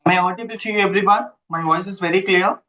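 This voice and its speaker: A man giving a live online lecture, halting and repeating himself as he checks in with listeners, using his computer's built-in mic. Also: high voice, fairly even intonation, bright, forceful tone. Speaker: instructor. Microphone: built-in computer mic, no headset. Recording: dull lo-fi computer audio.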